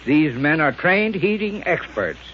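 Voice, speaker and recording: A man's voice: on the high side, sing-song, measured pace, fairly bright tone. A man giving formal, instructive expert advice in a scripted 1930s radio commercial. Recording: muffled 1930s radio recording with hiss.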